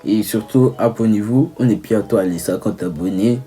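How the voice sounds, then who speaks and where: A young man giving casual, teasing video commentary, halting and correcting himself as he goes. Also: fairly low pitch, mellow, faint music behind. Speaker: video creator.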